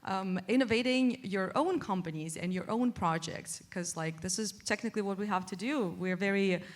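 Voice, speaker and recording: A woman's voice: medium pitch, normal speed. Enthusiastic woman tech founder on a panel. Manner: enthusiastic, with many fillers and restarts. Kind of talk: conference panel talk. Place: conference stage.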